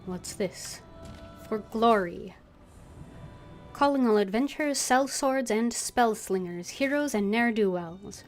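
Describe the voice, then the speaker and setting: A woman's voice: a little high, takes it slowly, faint music behind. A woman gaming streamer commenting live, reading dialogue aloud and musing as she plays.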